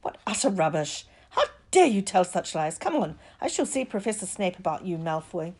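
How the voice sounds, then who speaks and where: A woman narrator voicing a stern older female teacher, scolding loudly and imperiously. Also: on the low side, sing-song, a little slow, exaggerated voice. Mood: outraged.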